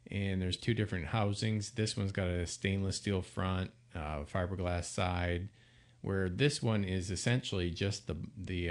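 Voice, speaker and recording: A man with a low-pitched, gravelly voice presents products in an informal, unscripted demonstration. He is plain-spoken and explains things step by step, with a halting flow. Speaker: product presenter for an intercom seller.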